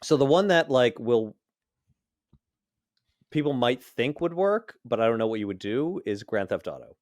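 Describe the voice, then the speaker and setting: A man's voice: mid-range voice, animated, moderate pace, a little mellow, no echo. A man in casual, opinionated geek conversation on a podcast, talking bluntly. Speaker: podcast panelist.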